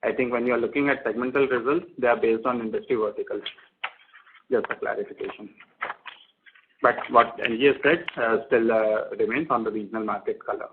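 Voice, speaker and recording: A man's voice: medium pitch, steady tone, a little fast, noisy background. A man, a company executive, adding clarifications on an earnings call. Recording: narrowband conference phone line.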